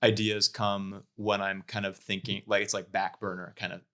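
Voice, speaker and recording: Low-voiced man chatting reflectively. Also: little pitch movement, normal speed, bright, forceful tone. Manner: reflective, meandering. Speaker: podcast guest, comedy writer. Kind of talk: casual chat.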